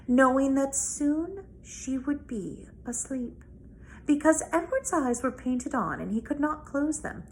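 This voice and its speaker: A woman reads a children's novel aloud as a storyteller, with deliberate, dramatic phrasing. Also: fairly high pitch, vocal fry, darkish tone, poor audio. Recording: noticeable background noise.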